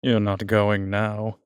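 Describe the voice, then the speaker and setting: A man's voice: on the low side, no room sound. A man playing a suave, weary vampire with courtly, fond phrasing in an intimate roleplay audio drama. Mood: seductive, sly. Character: weary, suave male vampire, protective and darkly charming.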